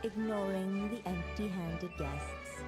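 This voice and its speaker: A woman playing a measured, teasing storybook narrator in a video game, telling a fairy tale in a deliberate, theatrical way. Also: mid-range voice, close to an everyday voice. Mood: measured storytelling. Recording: long reverb, music behind.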